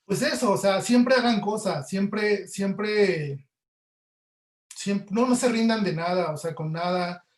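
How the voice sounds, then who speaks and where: Echoey room, Mexican man, somewhat high voice, audio producer, casual interview answers. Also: takes it slowly. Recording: roomy, echoey sound.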